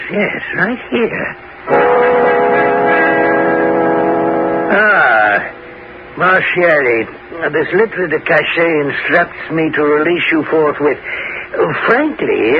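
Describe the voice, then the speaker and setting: An actor playing a fussy, affable French prison governor in a 1970s radio drama, with a flustered, stammering delivery. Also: male voice, a little high, exaggerated voice. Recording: dull, faintly noisy old radio recording.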